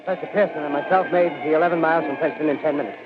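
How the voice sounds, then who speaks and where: An actor playing a live on-scene radio reporter in a radio drama, talking in breathless commentary with hesitant fillers. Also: male voice, fairly high pitch, steady tone, very fast, natural voice. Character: excitable male field radio reporter. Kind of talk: 1930s radio drama acting. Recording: dull lo-fi radio recording, faint hiss.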